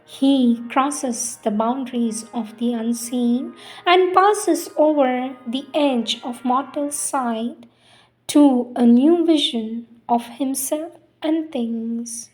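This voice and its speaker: A woman reciting spiritual poetry in a solemn, measured, deliberate way with pauses between phrases. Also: high voice, darkish tone, low-quality recording. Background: music.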